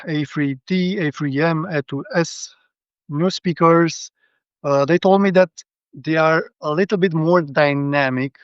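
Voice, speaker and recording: A man's voice: on the high side, smooth, no room sound. A man with a Romanian accent giving a casual technical rundown as a guest, speaking hesitantly, over dull lo-fi audio. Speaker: guest, hi-fi show visitor.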